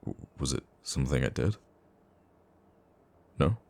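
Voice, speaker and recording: A man playing a gruffly caring, teasing supervillain who comforts a nemesis in an intimate ASMR roleplay, with a deep, gravelly voice. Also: expressive, heavily acted. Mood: hesitant, taken aback. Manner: soft-hearted, teasing, halting when flustered.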